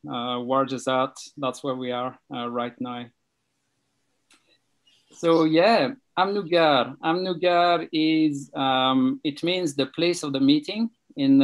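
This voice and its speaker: A man with a Swiss accent, speaking over a video call about his charity work, slow and halting with many pauses. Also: mid-range voice, low-quality recording. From Switzerland. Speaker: charity treasurer, guest speaker. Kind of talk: casual talk on a video call. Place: home near Belfast.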